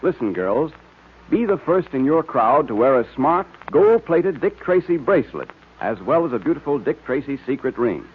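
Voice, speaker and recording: An American man announcing on a 1940s radio show in an emphatic, enthusiastic sales style with a husky voice. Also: medium pitch, big pitch swings, normal speed. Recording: tinny vintage radio recording.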